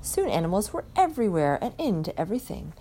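A woman reading a rhyming picture book aloud in an expressive, dramatic way. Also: mid-range voice, fast talker, slight background noise. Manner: dramatic, animated delivery. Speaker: storybook reader.

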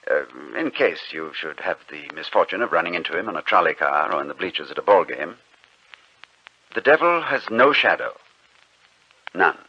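A male radio announcer telling a spooky tale in a wry, measured way. His voice is fairly low but moves freely through its range. The sound is a dull, lo-fi old radio broadcast. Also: husky. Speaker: announcer and narrator. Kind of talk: scripted radio narration.

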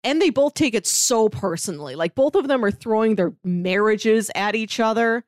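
A woman's voice: a little high, lively intonation, moderate pace, dry recording. Woman chatting eagerly on a dry mic. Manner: eager, agreeing often, filler "like". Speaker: podcast co-host. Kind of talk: casual TV recap chat.

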